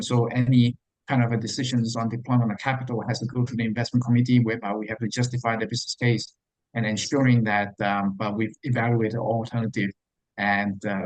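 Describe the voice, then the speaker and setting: A man speaking as a company finance executive, answering investor questions in a halting, hesitant way with repeated words. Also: medium pitch, dry recording, low-quality recording.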